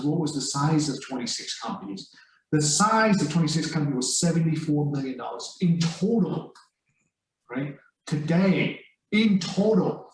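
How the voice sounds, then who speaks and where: A man's voice: a little high, a little mellow. A man answering interview questions about investing, hesitant, with frequent fillers and restarts. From Vietnam, raised in the United States. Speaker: investment executive and author. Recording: distant, very echoey room.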